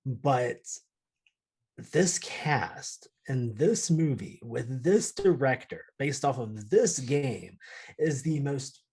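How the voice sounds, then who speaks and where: A man's voice: mid-range voice, lively intonation, slow pace, darkish tone, slight background noise. A man chatting casually as a podcast panelist, emphatic and prone to swearing. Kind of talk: casual chat about films and games.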